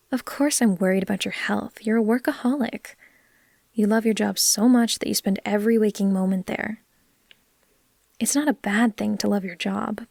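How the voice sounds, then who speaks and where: A woman playing a caring, gently teasing vampire in a soft, unhurried, comforting ASMR roleplay, sometimes slipping toward a whisper. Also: mid-range voice, creaky, husky, no echo, clean studio sound. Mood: caring, chiding.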